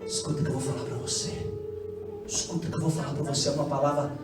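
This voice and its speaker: A Brazilian man from Minas Gerais with a Mineiro accent, preaching an impassioned sermon and swinging from hushed asides to shouted climaxes. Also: mid-range voice, steady tone, very fast. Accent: Mineiro Brazilian Portuguese. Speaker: pastor. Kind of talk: Pentecostal sermon with call-and-response. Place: church hall. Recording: very echoey church, far from mic, music behind.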